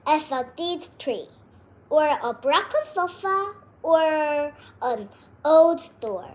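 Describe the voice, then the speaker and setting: A child reading a detective story aloud word by word, with halting breaks between phrases. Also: very high-pitched, bright, forceful tone.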